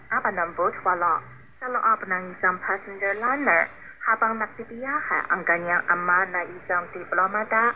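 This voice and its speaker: A woman's voice: mid-range voice, pushed and bright. A woman presenting a radio program in scripted storytelling narration. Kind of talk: scripted narration of a sports biography. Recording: noisy shortwave radio broadcast.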